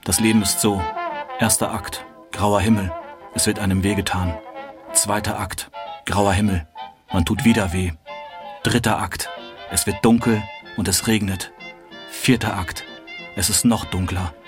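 A deep-toned homeless war returnee acted by a man, worn out, hungry and bitter. He speaks in terse, dramatic radio-play phrases, with clearly audible music behind him. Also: fairly even intonation, measured pace, pauses often, natural voice. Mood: bitter, bleak. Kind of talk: German stage-style radio drama acting.

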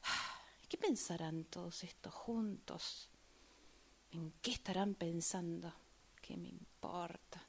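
A woman's voice: on the low side, takes it slowly, creaky, husky, in a whisper, full character voice. A woman acting in amateur radio theatre as an exasperated, restless commuter waiting for a train. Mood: bitter, hushed.

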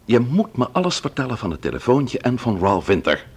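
An actor playing a polite but persistent police inspector in a radio play, questioning insistently. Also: male voice, mid-range voice, sing-song, brisk, gravelly, husky, exaggerated voice. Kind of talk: Dutch radio play dialogue.

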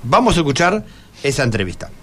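A man's voice: fairly high pitch, very animated, fast talker. Argentine man hosting over background noise. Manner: conversational, often checks with "¿no?" and rephrases. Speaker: radio host interviewing students. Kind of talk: casual radio interview. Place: radio studio.

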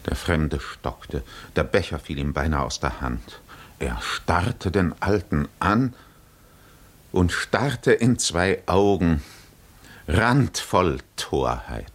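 Low, slow epic storyteller. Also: male voice, lively intonation, exaggerated voice. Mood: grave. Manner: measured, dramatic, hushed at times. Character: grave, suspenseful storyteller of an ancient epic. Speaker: narrator. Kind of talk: literary scripted narration, 1950s radio drama.

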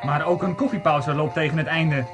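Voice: medium pitch, a little fast, music in the background. A narrator telling a story in a scripted amateur radio play.

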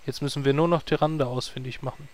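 A man's voice: medium pitch, fairly even intonation, darkish tone, no room sound, natural voice. An actor playing a vain young male druid hero with a wry, sarcastic way of talking in a comedy audio drama. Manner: wry, sarcastic, quick asides.

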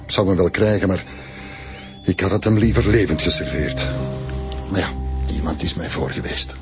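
An actor with a Flemish accent playing an older, indignant and proud historian in a radio drama, speaking deliberately and bitterly. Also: male voice, deep voice, lightly acted. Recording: faint music behind the dialogue.

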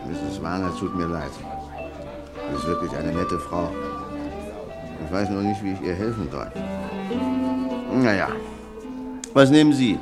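An actor in a radio play, playing a shrewd, self-satisfied and suspicious man with a deliberate, confiding manner. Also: deep voice, over loud music. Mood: gossipy.

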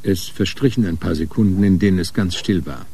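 A man narrating a radio crime drama from a script in a measured, sober way. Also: low voice, warm tone, slight background noise.

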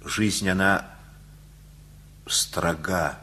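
A man's voice: low voice, fairly even intonation, very slow, bright, forceful tone, exaggerated voice. A man acting a stern, anxious father in a radio play, speaking in a weighty, moralizing way. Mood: gloomy, stern. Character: stern, anxious father fretting over his wayward daughter.

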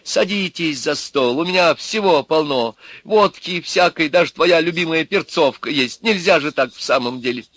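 A man's voice: on the high side, normal speed, hardly any pauses, fairly bright tone, dry recording, pitched above the performer's own voice. A man reading aloud, voicing a middle-aged wife who pleads and coaxes her husband. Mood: coaxing. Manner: pleading, coaxing. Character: coaxing housewife, middle-aged.

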